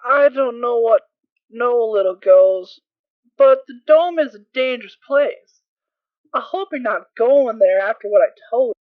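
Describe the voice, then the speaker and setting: A woman's voice: a little high, lively intonation, unhurried, dry recording. A woman playing a concerned, caring unicorn mare in an amateur audio drama, earnest and hesitant as she explains. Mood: worried, resigned. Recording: tinny, band-limited dry home recording.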